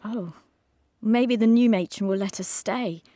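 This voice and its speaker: A woman's voice: medium pitch, a little slow, dark tone, dry recording, natural voice. An actress playing a timid, worried, polite woman living in an eighteenth-century institution, in a period audio drama. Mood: timid hope. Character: anxious, deferential eighteenth-century institution resident.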